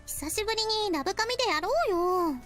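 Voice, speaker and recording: Young idol girl, very fast, perky, scolding, emphatic, visual novel style, clear background music. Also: very high-pitched, lively intonation. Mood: pouty, urging. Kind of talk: Japanese visual novel voice acting. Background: game music.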